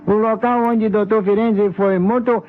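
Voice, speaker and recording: An actor playing a polite, deferential police assistant with halting foreign phrasing in an old radio mystery. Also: mid-range voice, fairly even intonation, natural voice. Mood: polite, eager. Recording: tinny, phone-like old radio recording.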